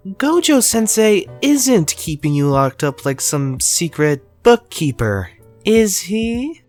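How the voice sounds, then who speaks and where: A cheerful teenage boy sorcerer teases his friend in a fan audio drama, voiced by a woman. His voice rises and falls with animated swings and takes its time over each line. Faint music plays underneath. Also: lowish voice, slow. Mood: teasing. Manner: playful, teasing.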